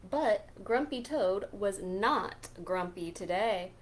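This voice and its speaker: A woman hosting a children's storytime, reading a picture book aloud in a deliberate, expressive way. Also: medium pitch, slight background noise. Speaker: children's librarian storytime host.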